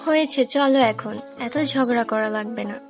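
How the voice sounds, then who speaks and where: A woman playing a playful, teasing young princess in an animated fairy tale. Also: a little high, moderate pace, natural voice. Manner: cheeky, coquettish. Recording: music and birdsong behind.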